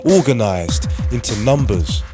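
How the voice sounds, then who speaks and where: A man giving deliberate, dramatic scripted narration for a radio play. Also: medium pitch, big pitch swings. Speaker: writer-performer, narrator. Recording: music under the voice. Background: music.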